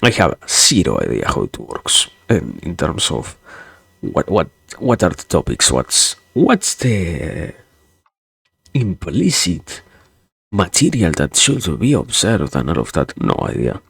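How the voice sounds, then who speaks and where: Young guy w an accent streaming from home, super low gravelly voice, kinda husky, stumbles and repeats himself. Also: wildly swooping pitch, takes it slowly, a bit bright, dry recording. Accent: non-native English accent. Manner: hesitant, rambling, repeats words often; wry sarcasm. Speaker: virtual streamer. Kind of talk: casual livestream chat.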